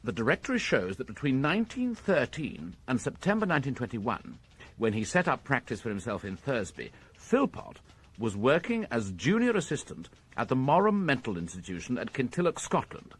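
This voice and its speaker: A British police inspector in a radio crime drama. His voice is slightly husky and moves freely up and down, yet his delivery stays measured and formal. He sets out each point with courteous, methodical precision. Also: medium pitch, wildly swooping pitch. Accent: British English.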